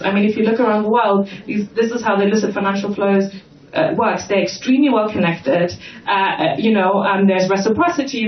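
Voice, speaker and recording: An adult panelist giving conference remarks, hesitant and full of fillers. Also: mid-range voice. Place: conference hall. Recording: echoey, muffled, distant mic with faint noise.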